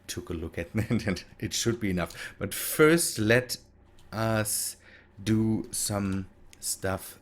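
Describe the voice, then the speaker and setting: A man's voice: low-pitched, creaky. A man with a German accent and German as his native language, giving casual gameplay commentary in a hesitant, thinking-aloud way with self-corrections. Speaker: gaming video host.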